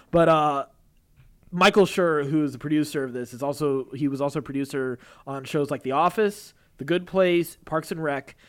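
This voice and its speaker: A man co-hosting a podcast in casual chat, speaking in a halting, digressive way with chuckles. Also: fairly high pitch. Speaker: podcast co-host. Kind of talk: casual chat about TV and voice acting.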